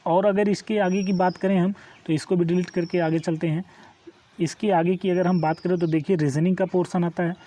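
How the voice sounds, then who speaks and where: A man's voice: high voice, little pitch movement, a little fast, dark tone. A man giving an informal online exam lesson, instructing step by step and often checking that listeners follow. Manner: instructive, repeatedly checks understanding with tag questions. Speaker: exam coaching teacher.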